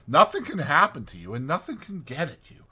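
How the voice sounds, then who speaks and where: Man voicing an earnest little storybook mole, lifted pitch. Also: wildly swooping pitch, brisk, sharp tone, breathy edge, full character voice. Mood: contented. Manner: earnest, appreciative. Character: polite, homely, admiring little mole. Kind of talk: dramatized storybook reading.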